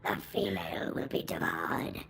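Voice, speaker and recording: A woman voicing a hungry, menacing inhuman creature in an audio drama, in a slow hissing, whispery rasp. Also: rumbling low, sing-song, fairly bright tone, heavily acted. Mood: hungry menace.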